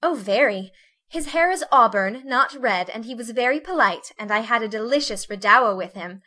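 A woman voicing a delicate, complaining young lady, speaking softly and plaintively. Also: fairly high pitch, animated, moderate pace, voice pushed up. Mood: fretful.

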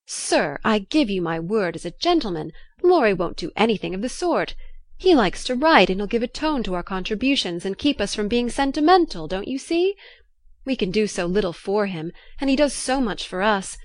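A woman's voice: medium pitch, big pitch swings, normal speed, no echo, natural voice. Woman as an earnest, emphatic tomboy girl orator. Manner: earnest, mock-parliamentary, emphatic. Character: spirited tomboy girl playing a gentleman club orator. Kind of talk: storybook character reading.

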